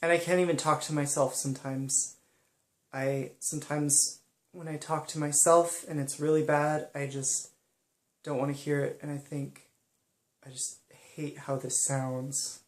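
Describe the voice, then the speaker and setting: A young woman speaking softly and hesitantly in a personal monologue, pausing often. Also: low voice, flat delivery, reverberant. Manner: soft-spoken and hesitant, many pauses and ums. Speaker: vlogger.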